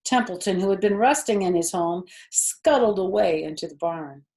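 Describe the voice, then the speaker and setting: A woman's voice: lowish voice, animated, normal speed, a little mellow. A woman storyteller reading a children's book aloud expressively, with chatty asides to young listeners.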